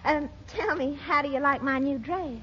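An actress playing a coy, genteel lady who gently scolds and coaxes her suitor in 1940s radio comedy style. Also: sits high, moderate pace. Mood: coy, apologetic. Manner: coquettish, prim, coaxing. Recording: muffled, noisy 1940s radio recording.

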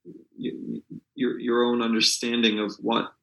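A man's voice: medium pitch, completely flat delivery, measured pace, gravelly, roomy sound, low-quality recording. A man who works as a literary translator, answering interview questions in a hesitant way, with many fillers and restarts.

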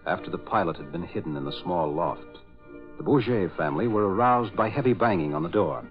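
A man's voice: low-pitched, expressive, fairly quick, music in the background. A man playing the solemn narrator of a 1940s radio drama, giving scripted narration. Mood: dramatic narration. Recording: muffled old radio recording.

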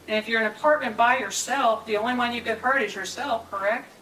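A woman's voice: on the high side, fast, a bit bright, recorded from a distance, a little hiss or hum. Insistent female prosecutor, echoey courtroom. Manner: insistent, demands yes or no answers. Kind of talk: courtroom cross-examination. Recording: echoey courtroom audio from afar.

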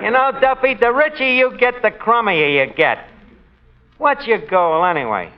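A man's voice: high-pitched, animated, normal speed. An actor playing a wisecracking middle-aged tavern manager in a 1940s radio sitcom, mangling words and chuckling at his own jokes. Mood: joking. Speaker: radio comedy lead. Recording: hissy old lo-fi radio recording.

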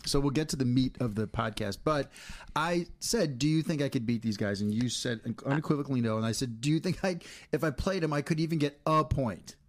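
A man's voice: medium pitch, brisk. A middle-aged man co-hosting a podcast, chatting casually, teasing and swearing freely. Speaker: podcast co-host. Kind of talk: casual banter.

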